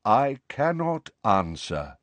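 A man voicing a mournful, refined ghost of a gentleman, speaking softly, slowly and formally with hesitant sighs. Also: fairly low pitch, expressive, no echo. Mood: melancholy. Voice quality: soft, quiet. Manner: slow, hesitant, sighing, formal.

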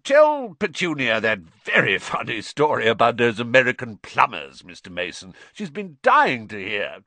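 A man's voice: mid-range voice, huge pitch swings, moderate pace, bright, forceful tone, dry recording, full character voice. A man voicing a pompous, bad-tempered middle-aged uncle who threatens through gritted teeth. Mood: falsely jovial.

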